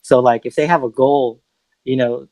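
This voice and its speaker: A relaxed man from the Bay Area chats as a guest, easing into his points with plenty of ums and restarts. His voice sits at an ordinary pitch. The sound is dry and close, with no room around it. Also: normal speed, dry recording. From San Francisco Bay Area. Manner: laid-back, hesitant, many fillers and restarts. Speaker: guest music producer. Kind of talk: casual podcast chat.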